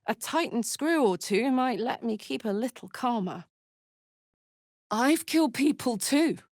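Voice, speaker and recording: A brash tiefling fighter from a fantasy game, speaks with blunt, cheeky earnestness. Her delivery is unhurried and warm-hearted, at a middling pitch. The sound is dry, with no room on it. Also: no echo. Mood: blunt, tough. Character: brash, warm-hearted tiefling barbarian woman, battle-hardened. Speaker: video game voice actor. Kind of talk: scripted game character voice lines.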